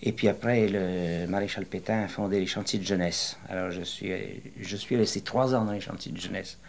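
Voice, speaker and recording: Deep-voiced man in his sixties reminiscing. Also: steady tone, creaky, a little mellow, slight background noise. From western France. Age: 60s. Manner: rambling, hesitant storytelling with many pauses. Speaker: retired interviewee. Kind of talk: oral history interview.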